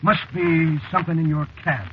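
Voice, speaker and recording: An actor playing an odd, bossy American license inspector in a 1940s radio drama, folksy and blustering, with a hesitant streak. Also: male voice, fairly high pitch, moderate pace, lightly acted. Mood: puzzled. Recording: muffled, noisy vintage radio recording.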